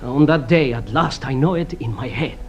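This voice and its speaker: An actor playing an indignant, scheming foreigner in a radio drama, stiff, self-righteous and defensive, on a dull recording. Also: male voice, mid-range voice, normal speed, faint music behind, close to an everyday voice. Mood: insistent accusation. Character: indignant, scheming foreign male accuser and blackmailer.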